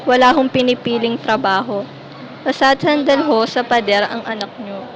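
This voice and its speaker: A woman's voice: fairly high pitch, moderate pace, natural voice. A woman playing a respectful neighbour in a radio drama, speaking earnestly in someone's defence. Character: respectful female neighbour defending an absent man. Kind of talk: Filipino radio drama acting. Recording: dull lo-fi sound, faint noise.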